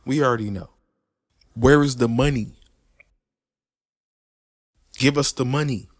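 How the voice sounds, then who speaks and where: A man's voice: mid-range voice, a little slow, dry recording, studio quality. A man delivering an emphatic, unscripted opinion rant, swearing freely and restarting words often. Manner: animated, emphatic and repetitive, with frequent restarts and stutters; swears freely, street slang. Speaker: host of a personal commentary channel.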